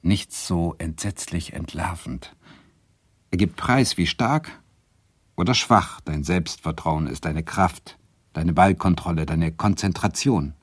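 An actor playing a wry, self-doubting Swedish literature lecturer who narrates a radio drama in a reflective, deliberate way. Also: male voice, sits low, slightly rough, dry recording, full character voice. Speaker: narrator and lead character.

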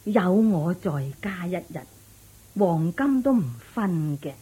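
A practical widowed mother who frets and scolds in a Buddhist radio drama. Her Hong Kong Cantonese is slow and dark, with a husky edge. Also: lowish voice, lively intonation, heavily acted. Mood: practical, firm. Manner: sighing, fretful, scolding at interruptions. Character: anxious, practical widowed mother of two grown sons.

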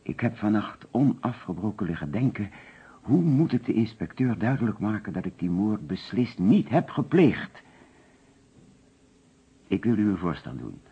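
An actor playing an anxious, courteous insurance agent under suspicion, speaking carefully and formally in a radio detective play. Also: male voice, fairly low pitch, very animated, moderate pace, husky, heavily acted. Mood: anxious, pleading. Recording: muffled old radio recording.

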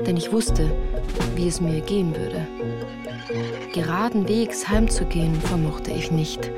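Actress as female memoir narrator, lowish voice, composed, reflective, literary radio storytelling, clear music underneath. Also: natural voice. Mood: sober, reflective. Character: woman recounting her hard Bavarian childhood. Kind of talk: first-person scripted narration for radio drama.

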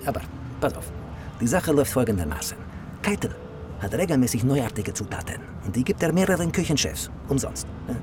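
A man's voice: mid-range voice, big pitch swings, brisk, noisy background, close to an everyday voice. An actor playing a slick, rambling wheeler-dealer in a radio crime drama, peppering his talk with Spanish interjections. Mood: scheming, excited.

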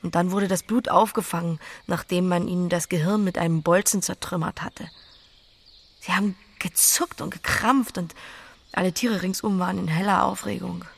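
A woman's voice: fairly low pitch, fairly even intonation, moderate pace, gravelly, husky. An actress playing a young farm woman who butchers pigs, blunt and plain-spoken but tender, in a naturalistic audio drama. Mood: matter-of-fact, earnest.

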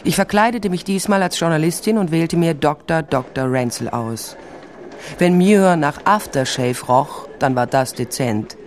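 A woman's voice: low voice, expressive, a little slow, darkish tone, slight background noise. Wry female investigator. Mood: dry, wry. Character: shrewd, wry female investigator telling her own case. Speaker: narrator and lead investigator. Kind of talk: radio crime drama, first-person narration and dialogue.

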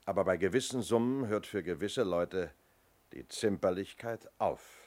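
Guy acting as a police inspector in an old radio play, low voice, measured probing questions, bit noisy recording. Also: natural voice. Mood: sceptical, pointed. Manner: probing, hesitant lead-ins, measured. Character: methodical male police inspector questioning people.

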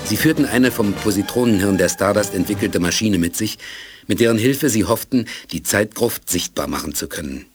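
A man narrating a radio play in a steady storytelling voice. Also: fairly low pitch, moderate pace, soft background music.

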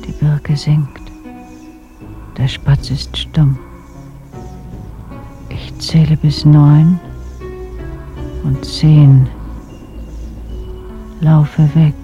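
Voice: mid-range voice, dark tone. An actor in a radio play, playing an eerie reciter who chants a counting rhyme with great deliberation. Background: music.

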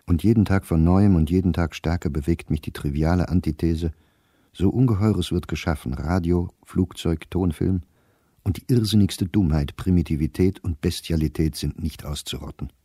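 An actor playing an educated middle-aged diarist, bitter and reflective, reading his diary in a measured, sardonic way for a radio play. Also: sits low, mellow, slightly rough, no room sound, studio quality. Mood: musing, sceptical.

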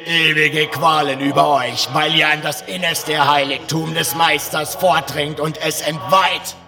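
A man's voice: a little high, steady tone, unhurried, creaky. A man playing a zealous, wrathful cult guru in a fantasy audio drama. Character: zealous cult guru solemnly cursing intruders. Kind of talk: fan audio drama acting. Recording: long hall reverb, music behind.

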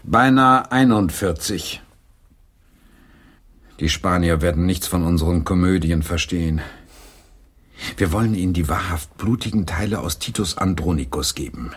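An actor playing a weary, irritable middle-aged English playwright in a German radio play, grumbling and sarcastic. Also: male voice, low-pitched, normal speed, pauses often, fairly bright tone, breathy edge. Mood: weary, sardonic.

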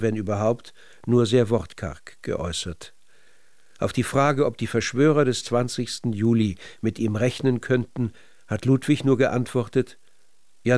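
Measured male narrator, dry studio sound. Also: medium pitch, a little mellow, dry recording. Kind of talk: scripted literary documentary narration.

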